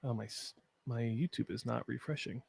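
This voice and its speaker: Chatty deep-voiced man streaming. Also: steady tone, normal speed, creaky, darkish tone, breathy edge. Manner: chatty, opinionated, frequent fillers. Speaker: watch party streamer. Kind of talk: casual live-stream commentary.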